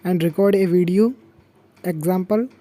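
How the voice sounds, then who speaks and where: A man's voice: high-pitched, normal speed, mellow. A man hosting a tech tutorial and walking through phone app steps in simple, broken English with repeated prompts.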